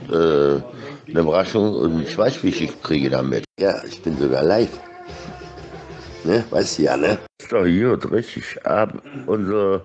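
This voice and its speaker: A man's voice: low-pitched, darkish tone. A middle-aged man in a rambling, insistent and repetitive informal monologue, talking into a phone. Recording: dull lo-fi phone audio.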